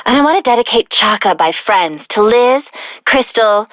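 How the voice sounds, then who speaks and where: Game radio caller voiced by a woman: entitled, rude young woman, slightly high, thin phone sound. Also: lively intonation, normal speed, no room sound, lightly acted. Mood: bratty. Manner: bratty, dismissive. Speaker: radio call-in listener. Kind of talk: scripted game radio call-in. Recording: tinny phone line.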